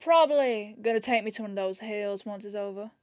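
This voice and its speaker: A woman's voice: medium pitch, a little fast, no echo, lo-fi sound, lightly acted. A woman playing a humble, apologetic police detective in an ASMR roleplay, chatty and rambling in a disarmingly casual way. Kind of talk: ASMR roleplay audio drama.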